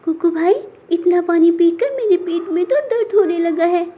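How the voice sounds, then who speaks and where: A woman's voice: very high voice, moderate pace, dark tone, dull audio, voice pushed up. A woman voicing a hungry, considerate little child bird in a cartoon, childlike and earnest. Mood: hungry, plaintive.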